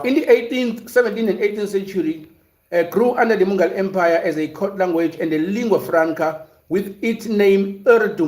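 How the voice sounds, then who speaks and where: A man's voice: high voice, a little mellow. Hesitant man from South Africa, roomy echo. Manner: hesitant, many fillers and restarts. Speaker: livestream host. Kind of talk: casual livestream commentary reading chat.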